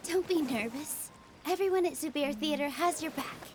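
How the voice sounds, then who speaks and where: An actress playing a gentle, reassuring young female dancer who encourages her friends. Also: high-pitched, normal speed, natural voice. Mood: encouraging. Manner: warm, reassuring.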